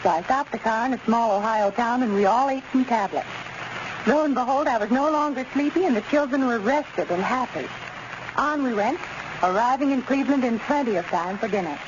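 A woman's voice: fairly high pitch, fairly quick. A woman reading a scripted testimonial in a 1930s radio ad, precise and formal. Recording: muffled, noisy old radio recording.

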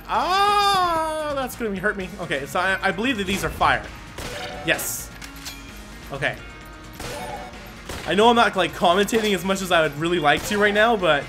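Man, high voice, let's-play commentary, excitable, thinks aloud, music behind. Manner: excitable, thinks aloud, many restarts and exclamations. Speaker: gaming commentator. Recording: game music under the voice. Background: game music.

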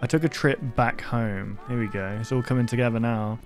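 A British man in his twenties reacts casually to a video from his bedroom setup. He speaks at an average pitch into a close microphone, with music clearly playing behind him. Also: fairly even intonation, fairly quick. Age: 20s. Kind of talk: casual reaction commentary, reading on-screen text aloud. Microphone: close desk microphone, seen. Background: music from the video he watches.

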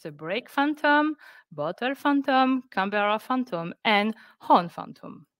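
A woman presenting scientific results on a webinar, speaking careful, halting English. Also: fairly high pitch, expressive, a bit bright, no echo, studio quality. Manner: careful, halting non-native English delivery. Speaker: researcher presenting project results. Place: indoors on a video call.

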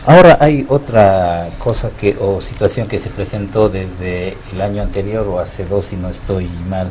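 A middle-aged man interviews a guest in a small TV studio, speaking into a desk microphone. His voice is very low, and he asks long, formal questions padded with filler sounds, with a faint hiss underneath. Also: moderate pace, warm tone. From Ecuador. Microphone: desk mic on stand.

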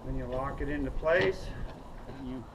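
A man's voice: medium pitch, normal speed. A middle-aged man casually explaining a kayak fitting while he works outdoors, thinking aloud as he goes. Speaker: kayak fishing vlogger. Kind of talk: casual how-to narration. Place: outdoors in a gravel storage yard. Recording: loud outdoor noise from a camera mic.

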